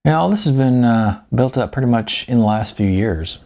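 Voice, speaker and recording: A man voicing a likable retired salesman and father in an audiobook, with a folksy, halting, conversational manner. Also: medium pitch, expressive, creaky, warm tone. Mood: folksy, easygoing.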